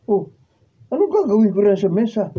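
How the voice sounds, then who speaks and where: An actor playing a struggling, defensive stage magician in a Filipino radio drama. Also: male voice, sits high, big pitch swings, a little fast, slightly rough, exaggerated voice. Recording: dull, lo-fi behind-the-scenes studio recording.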